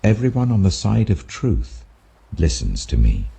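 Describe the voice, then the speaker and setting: A British man voicing a calm, authoritative holy teacher, deliberate and earnest in a husky scripture reading. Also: exaggerated voice. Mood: solemn. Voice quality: deep, husky.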